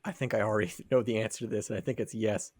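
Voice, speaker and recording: A man's voice: fairly low pitch, fairly even intonation, very fast, a little mellow. A man co-hosting a podcast, chatting casually with friends. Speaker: podcast co-host. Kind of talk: casual chat.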